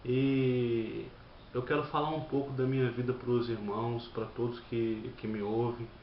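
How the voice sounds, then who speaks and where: A man's voice: mid-range voice, flat delivery, a little slow, a little hiss or hum. A man in his thirties from Brazil, telling his personal testimony as a church member, often repeating words and restarting his phrases. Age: 30s. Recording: dull lo-fi audio in an echoey room.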